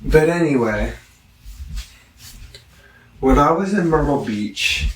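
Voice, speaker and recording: Slow-talking man in an echoey room. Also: a little high, animated. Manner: halting, digressive delivery with long pauses. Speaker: product reviewer. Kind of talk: casual rambling product review vlog. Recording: roomy echo, distant microphone.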